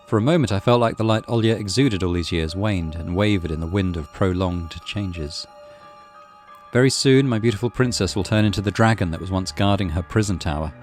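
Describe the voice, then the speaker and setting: A man's voice: sits low, a little fast, darkish tone. A man narrating a visual novel aloud as a gaming channel host, in a dramatic storytelling style. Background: music.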